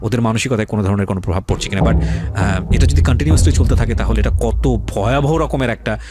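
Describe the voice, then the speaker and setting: Low-voiced Bangladeshi male radio host. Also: fast. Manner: mixes in English phrases. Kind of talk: radio interview and commentary. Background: loud background music.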